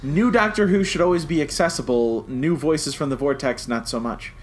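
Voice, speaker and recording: A man's voice: on the high side, normal speed. Opinionated man, faint background noise. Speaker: podcast co-host. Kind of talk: casual review chat.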